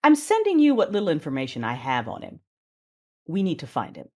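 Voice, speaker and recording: A woman's voice: low voice, huge pitch swings, fairly quick, dark tone, pitched above the performer's own voice, heavily acted. A woman playing a cool, ambitious, coy Sith lord in a sci-fi audio drama, imperious and seductive. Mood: businesslike, resolute.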